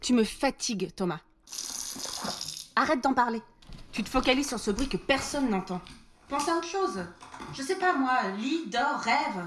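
Weary affectionate wife, lightly high voice, soft, gently chiding, intimate audio fiction, noticeable noise behind. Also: female voice, animated, unhurried, pauses often. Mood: weary, tender. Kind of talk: naturalistic French audio drama acting.

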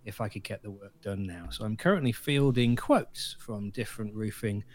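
A man's voice: fairly low pitch, normal speed, no echo. A man co-hosting a podcast in a casual, conversational style. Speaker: podcast co-host. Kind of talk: casual chat.

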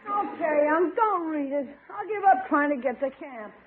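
Wisecracking young schoolboy, extremely high voice, slangy wheedling, tinny vintage radio comedy sound. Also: male voice, expressive, normal speed, slight background noise. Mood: sheepish. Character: young schoolboy nephew, scheming, sheepish, wisecracking. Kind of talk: 1950s radio sitcom acting. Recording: tinny old radio broadcast.

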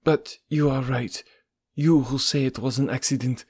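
A man's voice: mid-range voice, steady tone, normal speed, darkish tone, slightly rough, dry recording, pitched above the performer's own voice, close to an everyday voice. A man reading an audiobook, voicing a proud, exhausted foreign woman making a dignified confession. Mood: confessing.

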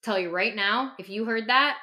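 A woman's voice: medium pitch, normal speed, bright, forceful tone. A woman speaking as a podcast host and clinician in candid conversation, passionate and emphatic, repeating phrases for stress.

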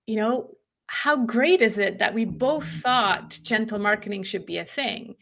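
A woman's voice: mid-range voice, a little slow, gravelly, fairly bright tone, slight background noise. Woman, Swiss German native speaker, podcast guest, casual and reflective. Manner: reflective, restarts sentences often. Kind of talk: casual conversation.

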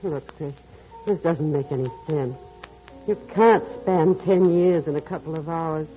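A woman's voice: deep voice, a little slow, soft background music. An actress playing a wistful, easily flustered middle-aged mother in a classic radio drama. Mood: guarded, uneasy. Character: mature widowed mother, flustered, wistful and guarded. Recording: dull lo-fi old radio recording.

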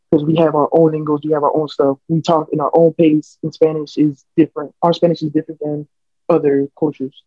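A man's voice: a little high, no room sound. A young American man in casual podcast chat, talking earnestly and rambling with many restarts. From United States. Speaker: podcast co-host. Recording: dull lo-fi podcast audio, dry.

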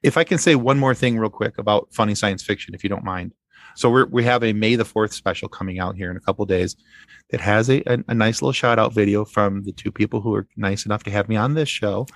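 Male podcast guest, deep with a creaky edge, earnest and effusive, casual delivery, dry close sound. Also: fast talker, no echo.

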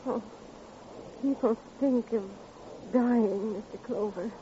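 A woman playing a fragile, frightened victim in 1950s radio melodrama, halting, distraught and dramatic. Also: fairly high pitch, dark tone. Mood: faint, evasive. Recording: muffled, noisy vintage radio recording.

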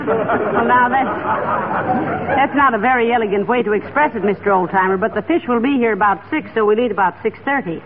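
An actress playing a good-humoured, sensible wife in a 1940s radio comedy, gently chiding, on a tinny recording. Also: female voice, a little high, moderate pace, a crowd in the background, lightly acted. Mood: teasing, sensible. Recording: tinny, hissy radio recording, live audience.

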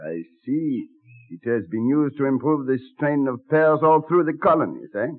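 A man's voice: medium pitch, sing-song, moderate pace, close to an everyday voice. A man acting a French aristocrat visiting early America in a radio play. He is formal, probing and a little hesitant, in a thin, narrow vintage broadcast. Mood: polite, questioning. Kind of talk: old-time radio drama acting. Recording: muffled old radio broadcast.

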